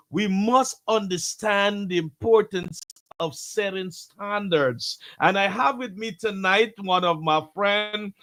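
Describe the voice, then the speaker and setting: Bright high-voiced pastor host. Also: male voice. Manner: expansive, preacher-like welcome with repeated phrases. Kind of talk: live talk show introduction.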